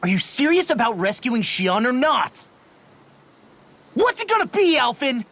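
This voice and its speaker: A man's voice: extremely high pitch, sing-song, a little slow, fairly bright tone, full character voice. A man voicing a brash, hot-headed young fighter in a game, with a blunt, cocky, informal way of talking. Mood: frustrated, challenging. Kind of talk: dubbed anime-style game voice acting. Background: background music.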